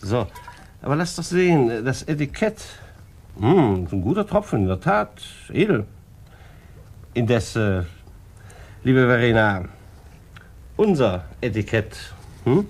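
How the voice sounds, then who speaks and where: An actor playing a middle-aged, oily charmer in a 1950s German radio play, with an unctuous, insinuating way of talking. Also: male voice, medium pitch, very animated, takes it slowly, pauses often, a little mellow, exaggerated voice. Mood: smug, oily. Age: 40s. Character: oily, flattering middle-aged con man and suitor.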